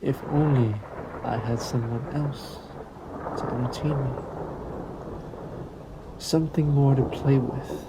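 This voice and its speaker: Man playing a slow, gravelly, menacing vampire lord. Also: mid-range voice, steady tone, very noisy. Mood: seductive, possessive. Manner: taunting, silky, theatrical, deliberate phrasing. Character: sadistic male vampire lord, suave, menacing, possessive. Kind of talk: slow intimate audio roleplay monologue to the listener.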